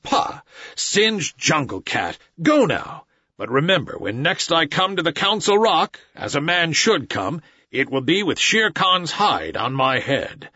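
A man voicing a defiant boy raised by wolves, with a husky voice and a dull recording. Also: a little high, very animated, unhurried, dry recording, full character voice. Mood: fierce. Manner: shouting commands, then sobbing pleas.

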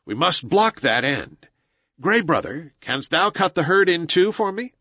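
Guy doing a keyed-up jungle boy barking orders, voice jumps up high, lo-fi dry audiobook sound. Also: very animated, a little slow, fairly bright tone, no room sound, full character voice. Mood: commanding. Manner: urgent, commanding, archaic speech. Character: eager jungle boy leading wolves.

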